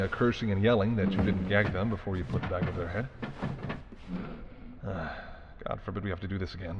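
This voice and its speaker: A man's voice: lowish voice, dull audio, background noise, close to an everyday voice. A man playing an apologetic, wry and courteous male mafia boss in an audio roleplay, speaking conversationally and sardonically straight to the listener. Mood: wry, businesslike. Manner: conversational, sardonic, exasperated, softening into polite charm.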